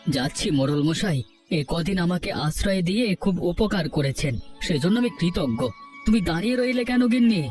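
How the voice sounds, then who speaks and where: A man's voice: sits high, expressive, brisk, a little mellow, soft background music. A man voicing a proud, homeless village husband and father in a cartoon story, earnest and dramatic. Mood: proud, resolute. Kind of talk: Bengali cartoon story acting.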